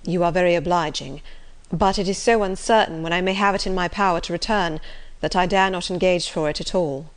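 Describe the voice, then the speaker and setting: A woman voicing a grave, guarded gentleman colonel in a storybook, speaking with formal politeness. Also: lowish voice, brisk, natural voice. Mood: firm, regretful. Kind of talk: acted storybook dialogue.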